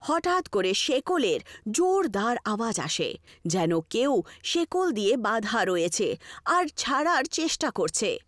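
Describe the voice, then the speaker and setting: A woman narrates a scripted ghost story. Her voice is high and very animated in pitch, captured close and dry with no room sound. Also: slow, no room sound.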